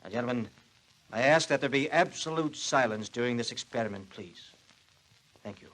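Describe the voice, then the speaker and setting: A man playing an earnest, imaginative inventor in a 1940s radio drama, speaking formally and deliberately. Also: medium pitch, noisy background, lightly acted. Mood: solemn.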